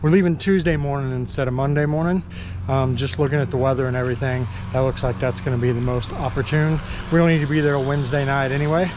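A man's voice: mid-range voice, fairly even intonation, fast talker, background noise. A man in his fifties vlogging casually, talking to an action camera at arm's length. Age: 50s. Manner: chatty, laid-back tour-guide talk. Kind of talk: casual vlog narration. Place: indoor go-kart venue and home garage. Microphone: action camera held at arm's length.

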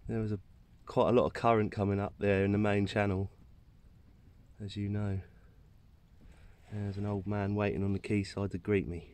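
A man's voice: fairly low pitch, little pitch movement, moderate pace, pauses often, a little mellow, clear, resonant tone. A middle-aged man from England talking cheerfully to an action camera while sailing a small dinghy outdoors, chuckling as he goes. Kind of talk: casual running commentary. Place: outdoors on a small sailboat on a tidal harbour. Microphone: action camera on the boat.

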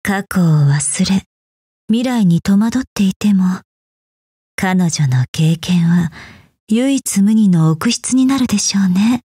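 A serene, mysterious fortune-teller from a video game. Her voice is very breathy and soft, with a dark, velvety tone. She speaks slowly and elegantly, very close and dry. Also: mid-range voice, animated, no room sound, exaggerated voice. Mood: intrigued. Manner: slow, poised, mysterious. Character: serene, enigmatic fortune-telling woman, elegant keeper of memories. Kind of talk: scripted game voice lines.

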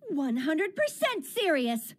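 A woman voicing a bossy, excitable, protective young female teacher in an anime dub, brash and scolding. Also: high voice, slow pace, heavily acted. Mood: firm, scolding. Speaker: voice actor in a fan anime dub.

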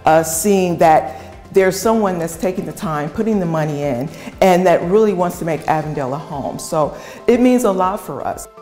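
Middle-aged woman, lower voice, reverberant room. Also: lively intonation, moderate pace, warm tone, soft background music. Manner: earnest, explanatory, cites figures. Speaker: community development director. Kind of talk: documentary interview. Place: empty renovated house, indoors. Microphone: lapel. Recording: long reverb of an empty room.

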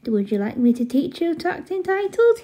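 Casting: woman as entitled young sister, high voice, mellow tone, smug and unapologetically defiant. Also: big pitch swings, brisk. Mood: smug, scheming. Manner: smug, defiant, self-satisfied.

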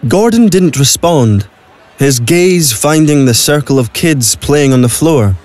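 A man's voice: fairly high pitch, lively intonation, unhurried, darkish tone. A man reading scripted narration for an audio drama.

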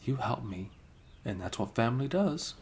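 A man doing an improvised ASMR roleplay as a best friend, soft-spoken and hesitant, slipping into whispers, close to the microphone. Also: mid-range voice, very animated, normal speed, gravelly, slight background noise.

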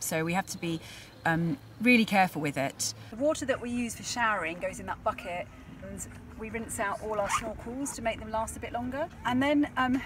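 A woman's voice: mid-range voice, fairly bright tone. A woman in her forties presenting a sailing vlog in a casual, chatty style, recorded outdoors on a camera. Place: outdoors at a harbour and on a sailboat. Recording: distant mic, noisy outdoor boat ambience.

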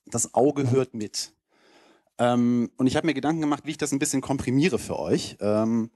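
A man's voice: mid-range voice, moderate pace, warm tone, no room sound. Casual man giving a dry-sounding talk. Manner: casual, loose, rambling delivery. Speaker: conference keynote speaker. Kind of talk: informal slide presentation to an audience. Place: lecture hall stage with audience. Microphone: handheld microphone held close.

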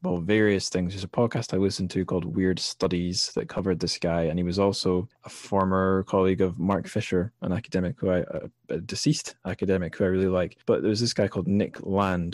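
A man's voice: low voice, darkish tone, dry recording, studio quality. A man hosting a podcast, chatting casually in a rambling way with wry asides. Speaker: podcast host. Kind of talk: casual literary podcast chat.

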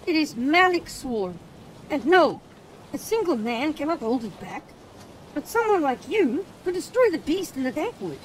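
An eager, earnest young boy speaks in a high, light voice that bounces up and down, put on by a male narrator. It is a storybook audiobook read over music, with a faint hiss behind. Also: big pitch swings, normal speed, rough recording. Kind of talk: audiobook character voice.

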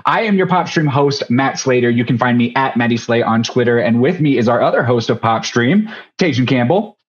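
A man's voice: mid-range voice, lively intonation, brisk. Man hosting, slightly dull audio. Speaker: host. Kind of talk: casual interview hosting. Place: small home room, video call.

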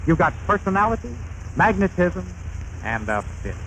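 Straight man for 1930s radio comedy: male, highish pitch, animated melody, light vintage noise. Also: normal speed. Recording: slightly noisy vintage 1930s radio recording.

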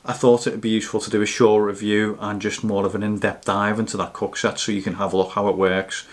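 A man's voice: fairly low pitch, little pitch movement, quick pace. A man in his thirties or forties giving a casual, matter-of-fact gear review to camera. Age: 30s to 40s.

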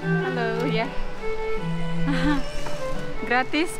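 A woman's voice: sits high, drawn-out words, over loud music. A woman vlogging casually to her viewers while shopping in a supermarket and market, chatty and upbeat, filmed on a handheld camera. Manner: chatty, upbeat, giggly, addresses viewers as guys. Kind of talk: casual shopping vlog chat. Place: supermarket and outdoor market stalls. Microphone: handheld wide-angle camera at arm's length. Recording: busy store noise behind. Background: shop and market bustle.

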